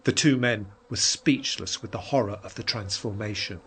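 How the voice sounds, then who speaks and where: A man narrating a horror story in a measured, suspenseful and dramatic way. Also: fairly low pitch, fairly bright tone, slight background noise. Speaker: narrator reading a short story.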